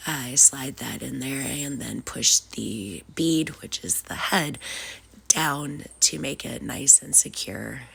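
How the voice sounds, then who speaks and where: Low, gravelly-voiced woman with a cold. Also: slow, sharp tone. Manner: casual, chatty, with frequent "uh" and "um". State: sniffly with a cold. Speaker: craft channel host. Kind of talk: DIY craft tutorial narration.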